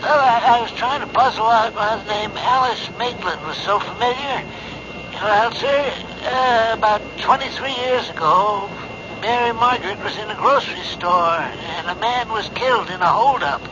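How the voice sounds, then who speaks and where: A polite, halting ex-cop, played by a man with a high voice. He stumbles over his words, and the dull old recording carries steady hiss. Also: close to an everyday voice. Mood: hesitant. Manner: hesitant, full of uh's, calls others sir. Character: older male ex-cop on the phone, halting and polite. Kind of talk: 1970s radio drama acting. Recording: dull lo-fi vintage radio broadcast with hiss.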